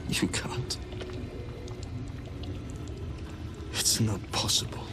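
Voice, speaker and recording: A man playing a despairing, long-imprisoned vampire spawn in a fantasy game, weak, breathy and bitter. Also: a little slow, over music, close to an everyday voice. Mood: hopeless. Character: despairing, broken male vampire spawn prisoner, long captive. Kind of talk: cinematic fantasy game acting.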